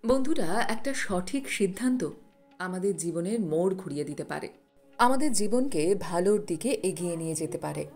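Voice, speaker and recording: A woman reading a scripted moral story in a measured, instructive manner, as a narrator. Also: lowish voice, lively intonation, a little mellow, soft background music. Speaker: storyteller, narrator of a motivational video channel.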